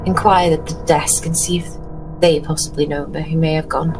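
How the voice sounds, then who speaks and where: A woman playing a genteel, anxious, soft-hearted lady in a tabletop horror game, with a polite, hesitant, fretful way of talking. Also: deep voice, level tone, brisk, music in the background, pitched below the performer's own voice, poor audio. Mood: anxious, tender.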